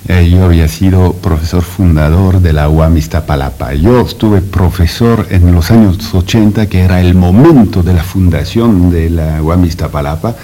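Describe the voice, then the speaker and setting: A man with a French accent answering interview questions in careful, halting speech full of hesitations and restarts. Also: deep voice, warm tone. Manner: slow, halting with many "eh" fillers and restarts. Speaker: interview guest, French academic.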